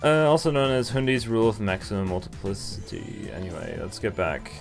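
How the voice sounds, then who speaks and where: A young man with a lowish voice reads game text aloud and adds casual commentary. Soft game music plays behind him. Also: sing-song, a little fast, creaky. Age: young adult. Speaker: game streamer.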